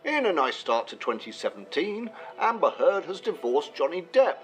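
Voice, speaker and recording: An actor playing an upbeat, opinionated media commentator in a radio drama, with music under the voice. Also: male voice, fairly high pitch, very animated, moderate pace, fairly bright tone. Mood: upbeat, declarative.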